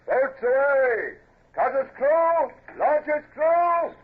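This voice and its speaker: Hearty, swooping naval officer. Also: male voice, very high voice, expressive, drawn-out words, full character voice. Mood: bellowing. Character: hearty, blunt British naval officer bellowing orders. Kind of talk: radio adventure drama acting. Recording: dull, noisy old radio drama recording.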